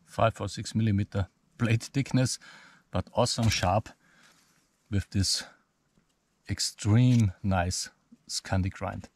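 A man's voice: lowish voice, fairly even intonation, takes it slowly, long pauses, slight background noise. A man with a German accent, a native German speaker, explaining a camp stove step by step outdoors in a forest. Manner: explains step by step, plain simple phrasing. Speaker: bushcraft instructor. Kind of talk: outdoor demonstration vlog.

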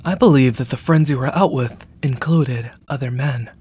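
A man's voice: medium pitch, moderate pace, a little mellow, breathy edge. A man playing a jealous, controlling stalker in an ASMR roleplay, with a cold, clipped, threatening delivery. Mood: cold, menacing. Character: jealous, controlling, menacing young male stalker.

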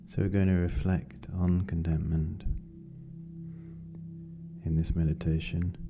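A meditation guide speaking gently and unhurriedly, with long pauses, leading a guided meditation. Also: sits low, little pitch movement. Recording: dull lo-fi sound, music behind. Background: meditation music.

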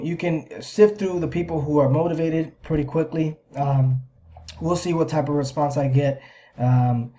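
Man explaining casually, mellow slightly muffled sound. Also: fairly high pitch. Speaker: video host sharing a business plan. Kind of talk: casual screen-share explainer. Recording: slightly dull, lo-fi microphone sound.

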